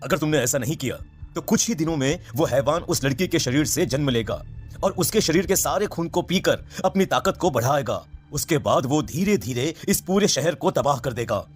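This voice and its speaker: Man playing a solemn old village priest, higher-pitched. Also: animated, moderate pace, faint music behind, natural voice. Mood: grave warning. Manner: solemn, warning, emphatic. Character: grave old village priest, ominous and firm. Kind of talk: Hindi horror cartoon voice acting.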